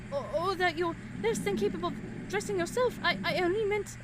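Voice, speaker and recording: A woman acting a caring, earnest young gentlewoman of old Vienna, speaking formally and apologetically in a period audio drama. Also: very high-pitched, a little fast, very noisy. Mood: flustered, apologetic. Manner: formal, genteel, apologetic speech.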